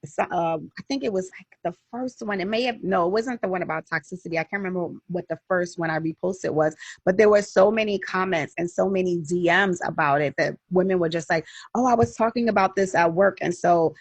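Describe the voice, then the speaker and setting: A woman's voice: lowish voice, fairly quick, few pauses, husky, no echo. A woman hosting a casual interview over a video call, chatty and encouraging as she asks her guest questions. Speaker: host and editor.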